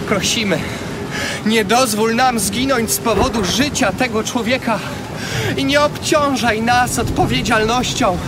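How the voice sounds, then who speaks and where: An actor playing a desperate sailor praying in an audio Bible drama, strained and pleading. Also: male voice, very high-pitched, noisy background.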